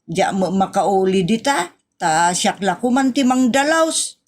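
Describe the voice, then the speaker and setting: A woman's voice: mid-range voice, expressive, unhurried. A woman with an Ilocano accent playing a grumbling, fault-finding villager in a comedy audio drama, colloquial and complaining. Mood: nagging.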